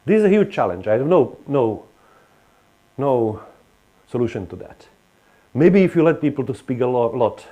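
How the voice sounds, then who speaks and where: A man's voice: medium pitch, dark tone. A man with a Slovak accent gives a university lecture, talking in a hesitant way with frequent restarts and fillers. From Western Slovakia.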